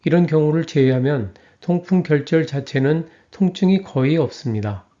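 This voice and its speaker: A man reading a scripted health lecture in an even, measured way. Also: fairly high pitch, dull audio.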